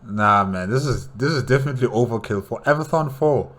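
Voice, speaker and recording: A man's voice: mid-range voice, a little fast, a bit bright. A man giving casual reaction commentary as a host, thinking aloud hesitantly and repeating his words.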